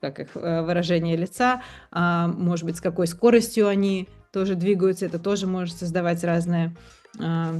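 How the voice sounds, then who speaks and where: A woman's voice: on the low side, steady tone, normal speed, a little mellow, soft background music. A woman in a casual discussion, thinking aloud in a hesitant, reflective way and often rephrasing herself. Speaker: podcast participant.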